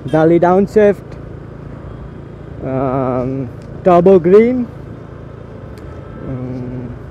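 A young man, a motovlogger chatting casually and rambling while riding a motorcycle through city streets. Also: a little high. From India. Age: young adult. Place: on a motorcycle on city roads outdoors. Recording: muffled helmet mic with traffic noise.

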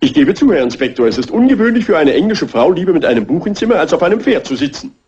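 An actor playing a haughty, formal gentleman hotel owner in a dubbed classic crime film. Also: a little high, sing-song, quick pace, breathy edge. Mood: indignant. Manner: pompous, formal. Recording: muffled, noisy old film soundtrack.

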